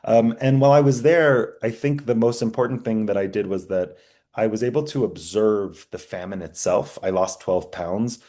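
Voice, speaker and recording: Man lecturing, low mellow voice, earnest, halting, lots of ums. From United States. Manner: earnest, halting, many fillers and restarts. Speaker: journalism instructor. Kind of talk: class lecture with slides.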